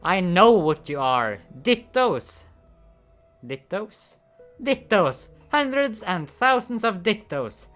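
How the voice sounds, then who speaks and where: A man voicing an intense, paranoid man who insists he has a system, in a loud, insistent, ranting manner for a comic read-aloud. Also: high voice, sing-song, slow, soft background music, voice pushed up, heavily acted.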